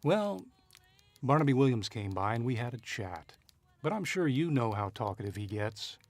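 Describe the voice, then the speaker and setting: A man's voice: medium pitch, very animated, moderate pace, darkish tone, dry recording. Actor as a shrewd hard-boiled male private eye, dry sound. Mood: insinuating. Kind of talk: radio drama acting with noir-style narration.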